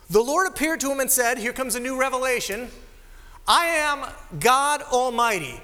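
Nasal, bright-voiced preaching man. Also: very high-pitched. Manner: conversational, animated preaching with asides. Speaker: pastor. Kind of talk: sermon. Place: church sanctuary. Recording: live sermon in a reverberant hall.

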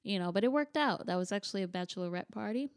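A woman with a soft voice, a guest on a podcast, talking casually and easily about running art classes, with frequent ums. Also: mid-range voice, quick pace. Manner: easygoing, relaxed, frequent ums. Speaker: guest, paint-and-sip art instructor.

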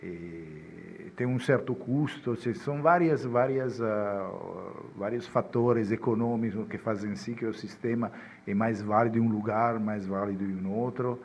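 A man from Italy speaking Portuguese with an Italian accent, a guest expert explaining in a halting way with many restarts. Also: on the low side, a little mellow, slight background noise. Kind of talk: casual interview chat.

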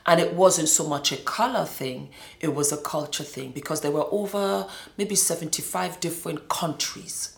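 A middle-aged woman with a fairly low voice recounts her own life in a roomy, echoing space. She speaks with emphasis, often repeating words to drive them home, in the manner of a personal testimony. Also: moderate pace, creaky, few pauses. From Nigeria and Scotland. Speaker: former model telling her life story. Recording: roomy, echoing indoor sound.

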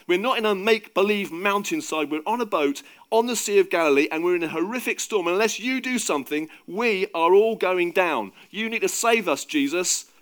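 A man voicing a cocky, bossy fisherman in a dramatised story reading, with a teasing, forceful manner. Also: mid-range voice, sing-song, normal speed, close to an everyday voice. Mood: desperate, urgent. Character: cocky, bossy fisherman disciple, panicking and bellowing in storms.

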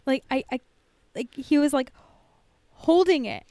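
A woman's voice: high-pitched, expressive, measured pace, dark tone, no room sound. A woman co-hosting a podcast in casual chat about a TV show, informal and sweary, saying "like" constantly. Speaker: podcast co-host.

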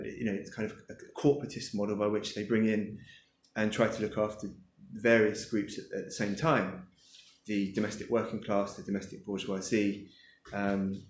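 A man's voice: on the low side, a little hiss or hum. A man, the author at his book launch, answering audience questions hesitantly with many fillers as he thinks aloud. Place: lecture room with an audience. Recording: echoey room.

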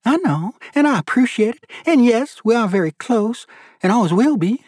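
An eager teenage girl, voiced by a male narrator in an audiobook, pitched well up. She sounds girlish and sassy, gushing and playful, in a dry, close recording. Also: expressive, normal speed, a little mellow, slightly rough, no echo, heavily acted. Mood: earnest.

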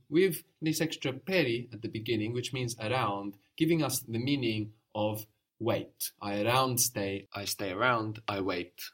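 A man's voice: mid-range voice, husky. Patient male language teacher. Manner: patient, prompting questions and quick praise. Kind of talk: guided language lesson.

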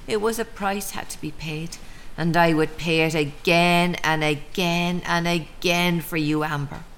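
A middle-aged Irish mother in a darkly comic radio play. Her voice is on the low side, weary but defiant. She speaks plainly and wryly, as if confessing. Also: fairly even intonation, unhurried, a bit bright, a little hiss or hum. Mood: pleading, defiant. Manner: plain-spoken, wry, confessional.

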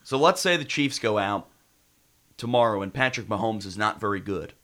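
A man's voice: lowish voice, expressive, normal speed, a bit bright. An American man hosting casual sports talk radio, congested with a head cold.

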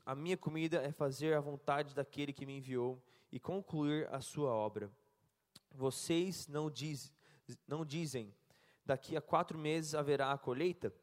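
A man interprets a sermon phrase by phrase and reads scripture aloud, often stopping to correct a word. His voice is fairly high for a man. The long reverb of a church hall hangs behind him. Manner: halting, self-correcting, laughs at slips.

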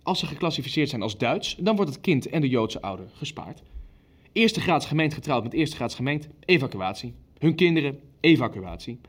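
An actor in an audio drama playing a controlling senior Nazi official who chairs with clipped, formal authority. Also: male voice, medium pitch, very animated, normal speed, husky. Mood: brisk, cold.

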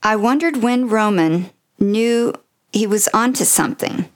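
A woman's voice: fairly high pitch, unhurried, noisy background. A woman acting as radio interviewer, reading short scripted links and questions.

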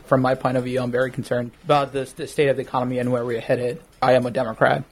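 A man's voice: medium pitch, quick pace, a little hiss or hum. Emphatic young American man, chatty. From United States. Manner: animated, emphatic, laughs while arguing. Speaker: interviewee, barbershop customer. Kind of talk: casual conversation.

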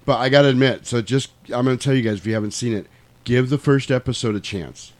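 A man's voice: mid-range voice, quick pace. Casual, foul-mouthed man in his forties. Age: 40s. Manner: informal, swearing, animated storytelling with acted quotes. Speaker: podcast host. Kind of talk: casual podcast chat.

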